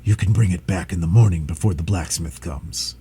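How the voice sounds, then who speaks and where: A big, worn-out dragon , put on by a male storyteller, moaning in a low, sleepy grumble. The voice sits deep and soft, mellow and a little husky. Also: a little fast, heavily acted. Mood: pleading. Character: weary whining male dragon, big and fussy.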